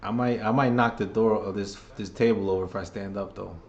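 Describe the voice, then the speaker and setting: A man giving casual, chatty play-by-play for a live chat, seated at a table at home, sounding under the weather with a voice getting hoarse. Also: fairly low pitch, steady tone, fairly quick, a little mellow, muffled recording. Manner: chatty, hyped, keeps rallying the chat. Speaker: fan livestream commentator.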